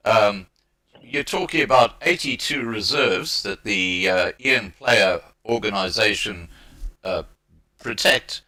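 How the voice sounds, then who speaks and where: Guy hosting a live stream interview, bright forceful voice, repeats himself while working up to his point. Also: medium pitch. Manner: halting, repeats words as he builds a point. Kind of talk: conversational interview commentary.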